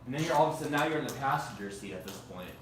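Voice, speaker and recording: A man's voice: mid-range voice, animated, very fast. A man casually coaching actors through a scene at a table read. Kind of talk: casual directing chat. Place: indoor room at a group table read. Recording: echoey room, distant mic, faint noise.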